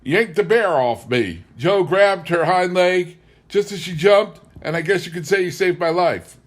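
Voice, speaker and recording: A man voicing a tough backwoods husband in an audiobook, offhand and boastful with loud, nonchalant delivery. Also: high voice, animated, unhurried, pitched above the performer's own voice. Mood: offhand bragging. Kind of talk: audiobook character voice.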